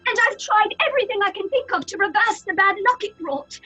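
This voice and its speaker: Very high-voiced, timid young woman. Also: a little fast, sharp tone, faint music behind. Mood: guilty, earnest. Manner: earnest, apologetic, pleading. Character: timid, guilt-ridden young actress in troupe. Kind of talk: theatrical audio horror drama acting.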